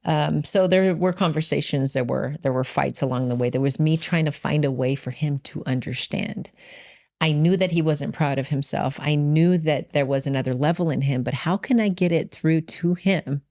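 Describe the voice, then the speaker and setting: A woman's voice: deep voice, normal speed, a little mellow, no echo. A woman telling a personal story in conversation, emphatic and often quoting what was said in direct speech. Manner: emphatic, re-enacts dialogue in direct quotes. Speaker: podcast guest and business owner.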